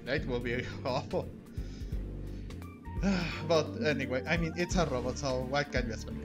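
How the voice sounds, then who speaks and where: A man's voice: medium pitch, unhurried. A man giving casual, chatty gameplay commentary, reacting aloud to the game as he plays. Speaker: game streamer. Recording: game music behind the voice. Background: game music.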